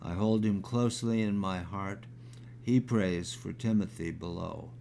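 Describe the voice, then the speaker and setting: A man in his sixties with a crackly, gravelly voice, reading his own poem aloud, halting with fillers when he talks and measured when he recites. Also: deep voice, warm tone. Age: 60s. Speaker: poet.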